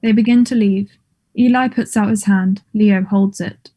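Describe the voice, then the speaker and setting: A woman's voice: medium pitch, steady tone, a little slow, dark tone. A woman reading stage directions as scripted narration, in a plain, neutral manner.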